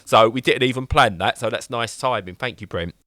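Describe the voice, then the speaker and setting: An English man co-hosting a gaming podcast, bantering in an animated, joking way with lots of quick restarts. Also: medium pitch, no room sound. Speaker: podcast co-host. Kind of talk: casual banter.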